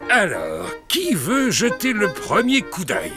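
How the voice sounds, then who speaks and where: A man's voice: sits high, wildly swooping pitch, slow, over music, heavily acted. A man voicing a kindly, knowledgeable old grandfather pig and sailor, hearty and explanatory, in a children's cartoon dub. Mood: explaining.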